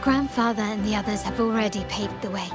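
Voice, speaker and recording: A woman's voice: mid-range voice, fairly quick, natural voice. A woman voicing a poised, proud and resolute young heiress in dramatic video game dialogue. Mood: composed, resolute. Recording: music behind the voice.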